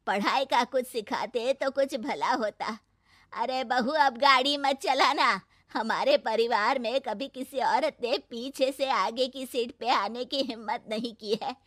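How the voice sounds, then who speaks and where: A woman voicing a stern, tradition-bound old village mother-in-law who scolds loudly. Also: no room sound, clean studio sound. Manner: scolding, shrill and exclamatory.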